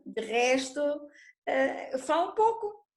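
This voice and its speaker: A woman with a European Portuguese accent speaks as a guest in a relaxed chat. She sounds polite and slightly hesitant. Her voice sits a little high, and the room echoes. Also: slow pace. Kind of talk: casual conversation.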